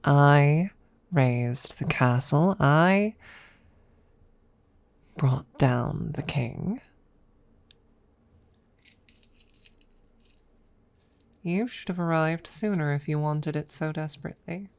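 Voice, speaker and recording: A woman voicing a proud, possessive female dragon who teases a rival in a haughty, deliberate manner, in an intimate ASMR audio roleplay. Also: deep voice, a little mellow, clean tone. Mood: possessive boasting. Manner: haughty, teasing, deliberate with pauses.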